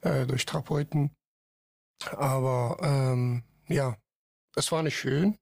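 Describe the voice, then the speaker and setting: A man from Iran, a podcast guest talking haltingly with many fillers. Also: medium pitch, gravelly, a little mellow, no room sound, studio quality. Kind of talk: casual podcast interview.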